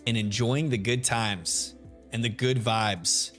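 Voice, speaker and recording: A man's voice: steady tone, takes it slowly. A man hosting a live game stream, chatting casually with viewers and greeting them by name. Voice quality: deep radio-style voice. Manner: laid-back, chatty, greets chat members by name. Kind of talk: live stream chat while shiny hunting. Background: soft lo-fi music.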